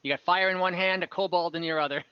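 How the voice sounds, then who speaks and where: A man's voice: high voice, fairly even intonation, fast, smooth, no room sound, lo-fi sound. A man acting as game master, casually running an online tabletop role-playing game.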